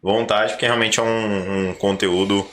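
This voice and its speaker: A man's voice: lowish voice, fairly even intonation, normal speed, fairly bright tone, a little hiss or hum. A man with a Brazilian accent hosting a podcast, explaining things in a conversational way. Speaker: podcast host.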